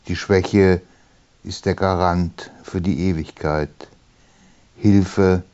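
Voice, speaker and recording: A man reading his own poem in measured short phrases with pauses between them. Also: low voice, darkish tone. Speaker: poet reading own work. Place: small room, writing study. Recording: dull, muffled sound.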